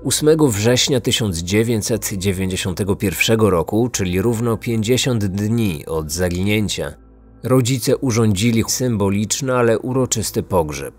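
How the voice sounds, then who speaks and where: A man narrates a true-crime documentary script. His voice is on the low side. Light music plays behind him. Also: animated, normal speed, breathy edge. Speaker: true-crime podcast host.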